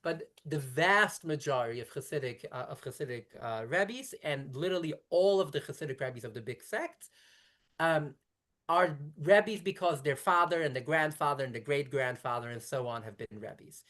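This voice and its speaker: A woman rabbi in her thirties from brooklyn, yiddish first language, talks fast in long explaining runs, keeps restarting and fixing her words mid-sentence. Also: low voice, expressive, fairly bright tone. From Brooklyn, New York. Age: 30s. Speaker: rabbi, interview guest. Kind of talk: conversational interview.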